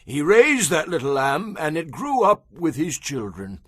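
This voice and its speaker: Principled biblical man, declamatory, a little rough, close dry sound, Bible drama. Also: fairly high pitch, sing-song, unhurried, a bit bright, dry recording, full character voice. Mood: solemn storytelling. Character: solemn, principled man of ancient Israel. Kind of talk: dramatized audio Bible acting.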